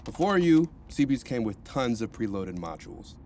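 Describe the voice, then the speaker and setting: An actor playing a cheerful male counselor who talks in an animated, rambling way. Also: mid-range voice, moderate pace, slight background noise, natural voice. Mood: cheery. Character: cheerful male rehab counselor, upbeat and chatty.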